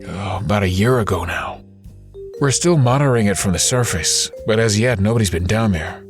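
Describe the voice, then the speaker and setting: Man playing a low-voiced, hesitant surveyor. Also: fairly quick, over music, lightly acted. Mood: hesitant, helpful. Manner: hesitant, self-effacing. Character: modest, hesitant, helpful ice surveyor, adult man. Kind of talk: audio drama character acting.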